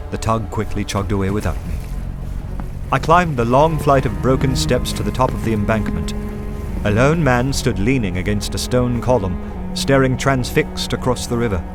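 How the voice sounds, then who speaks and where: Low-voiced, earnest detective narrator acted by a man. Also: lightly acted. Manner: measured, literary, dramatic storytelling. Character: earnest male detective recounting his undercover adventure. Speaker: narrator and lead character. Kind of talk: radio play narration and dialogue.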